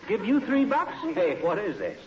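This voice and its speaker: A grumpy middle-aged husband, fairly high in pitch, who wisecracks with folksy bluster. The style is 1940s radio comedy, heard through a dull old recording with clear background noise. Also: male voice, wildly swooping pitch, normal speed. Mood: indignant.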